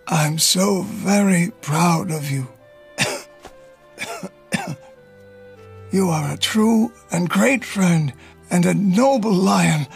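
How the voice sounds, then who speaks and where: A man's voice: high voice, wildly swooping pitch, unhurried, gravelly, bright, forceful tone, faint music behind, full character voice. A man voicing an old retired male elephant uncle, fretful, tired and loyal. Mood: fond farewell. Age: older.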